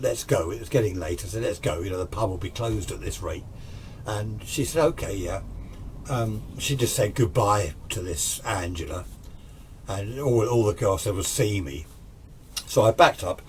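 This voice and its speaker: A British man with a fairly low voice recounts a personal anecdote in a conversational, informal way. He relays the dialogue between the people in his story as he goes. Some noise is audible behind him on the recording. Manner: conversational, acts out reported dialogue.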